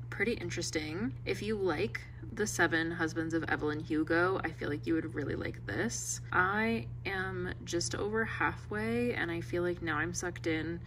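A young woman with a raspy voice chatting casually about books and coffee at home, rambling with lots of "like". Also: on the low side, a bit bright, slight background noise. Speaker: book vlogger. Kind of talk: casual vlog chat. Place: home, bedroom and kitchen.

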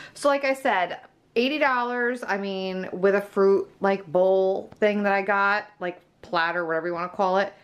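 A woman's voice: fairly high pitch, a bit bright. A woman chatting casually as a vlog host, talking through things in an emphatic, conversational way, recorded indoors at home on a camera. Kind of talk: casual grocery haul narration, chatty.

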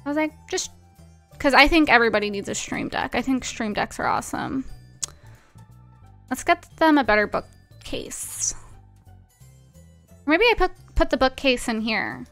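A young woman with a high, bright voice chats informally on a live game stream. Her speech is casual and peppered with "like," and faint music plays behind her. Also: animated, normal speed, pauses often. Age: 20s. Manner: chatty, informal, frequent "like". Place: small indoor room at home.

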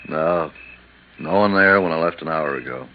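Very deep, laconic insurance man. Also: normal speed. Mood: businesslike. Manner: laconic, clipped. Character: brisk, businesslike male insurance man, investigator's partner. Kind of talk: 1950s radio drama acting. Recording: muffled, lightly noisy 1950s radio recording.

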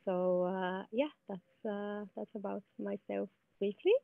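A woman answers interview questions from a sunny outdoor spot. She talks in a friendly, halting way, looping back with fillers and repeated words. Her voice comes through a thin, phone-like video call line. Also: mid-range voice, steady tone, vocal fry, no echo. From Bulgaria. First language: Bulgarian. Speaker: startup founder, guest. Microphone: laptop video call.

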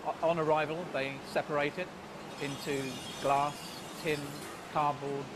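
A man's voice: on the high side, level tone, measured pace, background noise. A middle-aged man, a ship's officer, explaining matter-of-factly in an interview in an office. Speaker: ship environmental officer.

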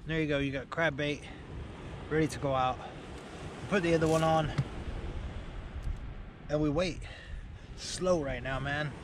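A man's voice: a little high, steady tone, unhurried, long pauses. A man in his forties chatting casually to camera as an angling vlogger, with a laid-back manner. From United Kingdom. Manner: laid-back, often tags remarks with "man". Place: outdoors on a beach at night, in a small tent. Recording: noisy outdoor camera audio.

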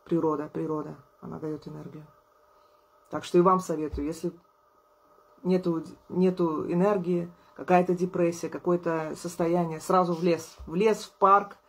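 Woman, 30s, vlogger, weary but friendly casual talk, husky tone, camera at table distance. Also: on the low side, little pitch movement, moderate pace. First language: Russian. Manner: tired, chatty, warm to followers, laughs often. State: low on energy. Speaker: artist and musician vlogger. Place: indoors at a table, small room.

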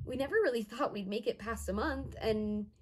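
A woman's voice: fairly high pitch, normal speed, faint music behind, lightly acted. A woman in her twenties playing an earnest young community organizer in a conversational first-person monologue. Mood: serious, proud. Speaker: actor in a dramatized monologue. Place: indoors, plain room.

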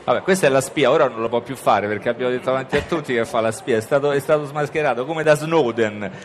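Quick-witted ironic male radio host. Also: medium pitch, a little hiss or hum. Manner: quick, ironic, interrupts with jokes. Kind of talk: live radio interview. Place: book fair stage.

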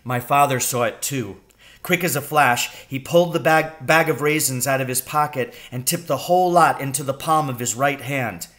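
A middle-aged man reading a children's novel aloud in a measured, suspenseful storytelling manner. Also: medium pitch, fairly bright tone. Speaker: storybook reader. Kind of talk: children's novel read aloud, first-person narration. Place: room at home with wooden ceiling.

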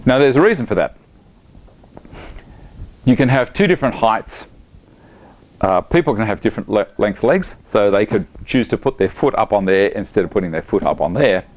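A man's voice: fairly low pitch, animated, normal speed, pauses often. A middle-aged man, chatty and enthusiastic, explaining a woodworking project step by step in his home workshop. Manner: chatty, enthusiastic, talks while working. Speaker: woodworking instructor.

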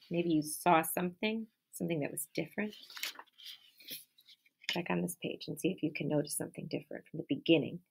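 A woman in her thirties, a teacher reading a picture book aloud to children, animated, with gasps and questions to her young listeners, recorded on a close webcam in a classroom. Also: fairly low pitch, creaky, breathy edge. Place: classroom or office with window and colorful wall hanging. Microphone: webcam at close range.

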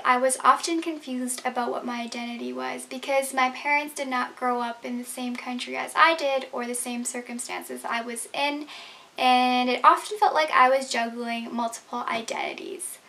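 Young woman from Canada, grew up speaking Urdu, high voice, earnest prepared talk recorded on a webcam. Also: sharp tone. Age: young adult. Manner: earnest and explanatory. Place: bedroom, indoors.

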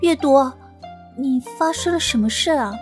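A woman's voice: high voice, expressive, soft background music, voice pushed up. A woman voicing a brash, hot-tempered young male beast spirit who talks in eager, impulsive bursts, in an audio drama. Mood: agitated. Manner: impulsive, eager, fast-talking.

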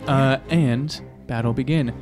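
Mid-pitched man calling out game turns. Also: unhurried, over music. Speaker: tabletop game player tracking turn order. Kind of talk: casual game talk. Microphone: close table microphone.

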